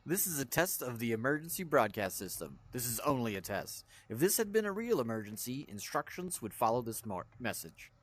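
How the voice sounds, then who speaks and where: A man's voice: mid-range voice, sing-song, brisk, few pauses, slight background noise. A man hosting a gaming video with casual gameplay commentary.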